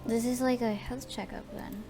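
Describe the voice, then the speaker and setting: A woman's voice: on the high side, fairly even intonation, moderate pace, vocal fry. A woman giving casual gameplay commentary, thinking aloud in short reactions as she streams. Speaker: game streamer. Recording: game music behind the voice.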